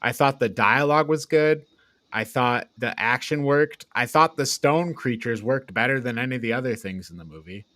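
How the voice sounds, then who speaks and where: A man's voice: mid-range voice, moderate pace, a bit bright, no room sound. A man in a casual podcast review, giving opinionated, mildly exasperated criticism. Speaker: podcast co-host reviewing films. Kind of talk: casual chat, film review.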